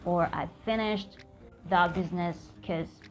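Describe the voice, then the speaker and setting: A woman from Cuba, a native Spanish speaker with a Cuban accent, hosting an upbeat slang lesson and repeating phrases slowly and clearly. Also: lowish voice, soft background music. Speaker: language teacher, channel host.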